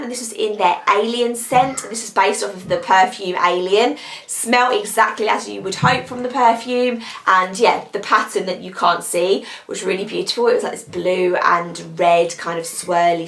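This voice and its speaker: A young British woman with a croaky voice from a cold, chatting casually as she reviews beauty products she has used up. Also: mid-range voice, fairly bright tone, roomy sound. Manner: chatty, rambling, upbeat. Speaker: beauty vlogger.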